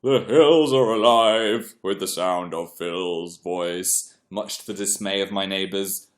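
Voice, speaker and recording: A man's voice: sits low, slow. A young man, congested, chatting casually on a livestream and reading viewer comments aloud. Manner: chatty, self-deprecating, reads comments aloud. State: congested, clearing throat. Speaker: livestream host.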